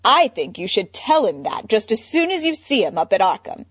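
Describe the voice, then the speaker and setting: A woman's voice: fairly high pitch, big pitch swings, moderate pace, slightly rough, dry recording. Woman doing a drawling rich playboy.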